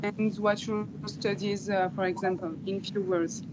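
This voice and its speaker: A woman interviewer on a video call, talking haltingly with many uhs. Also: medium pitch, highs cut off, background noise. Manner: halting, filler-heavy, flat delivery.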